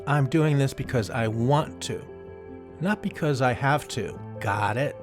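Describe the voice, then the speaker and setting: A man playing a cocky, gruff, streetwise field mouse in an audio drama, teasing and brusque with a drawl. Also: mid-range voice, measured pace, music in the background, natural voice. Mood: bossy, teasing.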